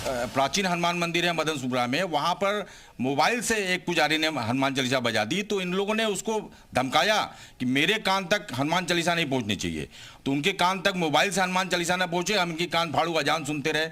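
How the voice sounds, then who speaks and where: A man's voice: fairly high pitch, expressive, fairly quick, pushed and bright. A man giving an interview statement as an activist, insistent and combative.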